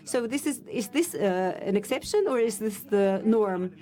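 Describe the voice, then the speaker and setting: A woman interpreting a panel discussion live, in halting, stop-start phrases with frequent restarts. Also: mid-range voice, expressive, dark tone. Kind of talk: simultaneous interpretation of a panel talk.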